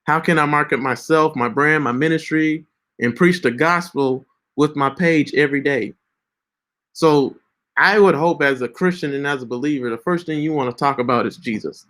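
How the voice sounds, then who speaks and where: A man's voice: a little high, moderate pace, a bit bright. A man in his late twenties giving casual, preachy social media advice with lots of fillers and restarts. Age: 20s. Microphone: screen-share recording setup.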